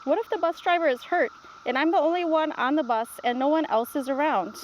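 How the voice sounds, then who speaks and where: A worried, inquisitive young child in a storybook, as read aloud by a woman. The voice is high and full of questions, and there is slight background noise. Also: brisk, lightly acted, low-quality recording. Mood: worried, curious. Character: anxious, inquisitive young grandchild starting kindergarten.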